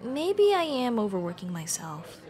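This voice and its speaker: Woman playing lively, high-voiced affectionate café-owner girlfriend. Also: normal speed. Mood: weary, earnest. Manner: expressive, talking intimately to the listener as partner. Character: affectionate, overworked young café-owner girlfriend, playfully bossy. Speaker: roleplay voice actor. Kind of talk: ASMR roleplay acting.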